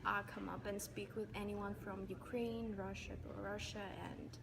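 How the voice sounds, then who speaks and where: Woman from Kazakhstan, student, mid-pitched, measured, steady background noise. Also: vocal fry. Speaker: university student. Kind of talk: interview answers.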